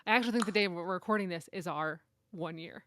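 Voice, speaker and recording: A woman co-hosting a casual book chat podcast, chatty and quick to laugh. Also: on the low side, a bit bright, slight background noise. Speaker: podcast co-host.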